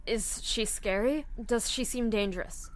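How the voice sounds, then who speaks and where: A woman's voice: fairly high pitch, measured pace, lightly acted. A woman voicing a level-headed, tough young heroine in a comic dub, earnest and firm. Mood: gentle, curious.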